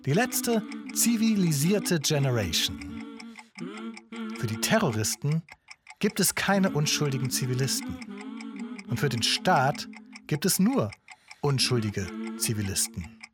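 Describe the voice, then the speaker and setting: An actor playing an earnest former conscientious objector in a radio drama, making a pointed appeal on air. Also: male voice, a little high, big pitch swings, measured pace, long pauses, music in the background. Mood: urgent, earnest.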